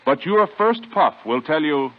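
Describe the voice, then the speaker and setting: A man's voice: fairly high pitch, expressive, measured pace. A man delivering an emphatic sales read as a 1950s radio commercial announcer. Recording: muffled old radio recording.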